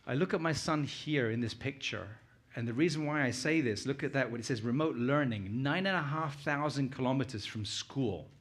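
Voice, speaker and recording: A man's voice: medium pitch, normal speed, few pauses, fairly bright tone. A middle-aged man with a British accent speaks as a guest at a conference, presenting informally and conversationally with personal anecdotes and questions to his listeners. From United Kingdom, born in Uganda.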